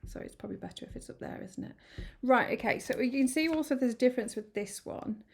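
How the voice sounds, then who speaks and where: A woman chatting through a card-making tutorial, a little croaky from hay fever, rambling and apologetic with many ums. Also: a little high, fast talker, a little hiss or hum. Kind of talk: casual craft tutorial. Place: home craft room.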